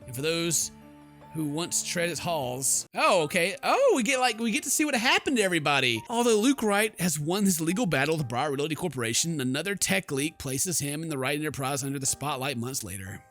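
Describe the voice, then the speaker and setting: A man's voice: a little high, very animated, fairly quick, fairly bright tone. A man hosting a gaming video, reading story text aloud in a dramatic way and breaking off into casual, jokey asides. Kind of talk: let's play, reading game text aloud with casual reactions. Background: game music.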